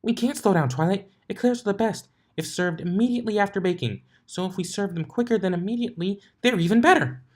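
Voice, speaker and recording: A bubbly, excitable female pony baker from a cartoon fan story, as a narrator acts her out. The voice leaps high above normal speech and comes out loud and chirpy. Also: fast talker, few pauses, close to an everyday voice. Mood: excited, cheery.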